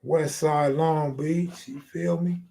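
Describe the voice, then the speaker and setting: A man's voice: a little high, fairly even intonation, takes it slowly, vocal fry, dark tone. An American man hosting a live stream, chatting casually in slangy, profane, streetwise talk.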